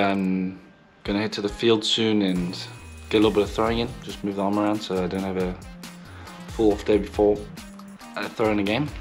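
A man in his thirties from South Africa with a softened South African accent, filming a casual video diary on a phone held at arm's length. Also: fairly low pitch. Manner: relaxed, matter-of-fact self-filming. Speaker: professional baseball pitcher vlogging. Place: hotel room and city street. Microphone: phone camera at arm's length.

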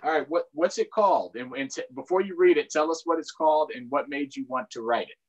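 A man's voice: a little high, fast, highs cut off. A middle-aged man casually chatting to children and families as the host of a storytime on a video call. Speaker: county councilmember hosting children's storytime. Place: small furnished room indoors, on a video call. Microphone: video call microphone.

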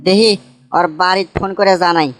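A man voicing a concerned, solemn cartoon migrant worker, speaking deliberately in a Bogura dialect of northern Bangladesh. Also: high-pitched, steady tone, very noisy, natural voice. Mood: alarmed. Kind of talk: amateur comedy cartoon dubbing.

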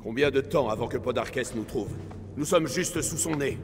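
An actor playing a proud, hot-headed Spartan commander in a video game, with a blunt, impatient martial manner. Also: male voice, mid-range voice, moderate pace, in a large hall, lightly acted. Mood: grim. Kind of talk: video game voice acting in French. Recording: game dialogue over loud music.

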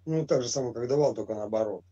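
A man's voice: mid-range voice, fast talker, warm tone. A man in his thirties on a video call, answering in a mumbling, defiant, terse way. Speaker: video chat stranger. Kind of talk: argumentative video chat. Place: dark indoor room, video call. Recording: distant dull webcam audio.

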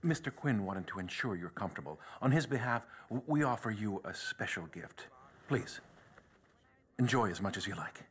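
A man's voice: lowish voice, expressive, moderate pace, breathy edge. An actor playing a deferential, obliging henchman in a video game, speaking formally. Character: deferential, smooth-talking male henchman host. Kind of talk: video game cutscene acting.

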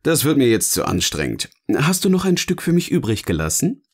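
A man's voice: medium pitch, wildly swooping pitch, brisk, breathy edge, heavily acted. A man acting a teasing, philosophical partner in scripted audio-novel dialogue, with a theatrical, questioning manner. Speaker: voice actor, narrator.

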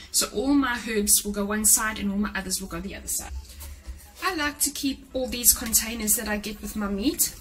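A woman's voice: a little high, fairly bright tone, rough recording. Chatty woman giving home cleaning tips. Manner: chatty and practical, gives tips directly to viewers. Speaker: cleaning vlogger. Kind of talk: casual how-to narration to camera. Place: home kitchen, indoors.

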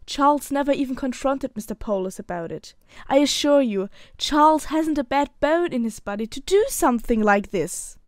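A woman's voice: sits high, expressive, normal speed. A woman playing a loyal young fiancée in an audio drama, speaking earnestly and confidingly. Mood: defensive, earnest.

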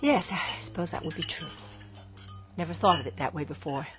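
An actress playing an inventor's restless adult daughter in a radio drama, questioning insistently. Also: female voice, sits low, lively intonation, a little fast. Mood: probing. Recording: music under the dialogue.

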